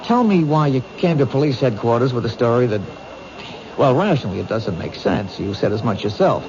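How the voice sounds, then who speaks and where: A man's voice: mid-range voice, animated, fairly quick, darkish tone, close to an everyday voice. A man acting in a radio mystery as an earnest police detective, questioning in a persuasive, probing way. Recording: dull old radio recording, noisy background.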